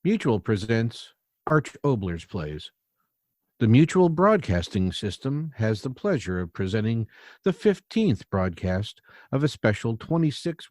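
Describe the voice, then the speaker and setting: An actor playing a formal, measured network announcer from 1940s radio. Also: male voice, mid-range voice, darkish tone, dry recording, clean studio sound.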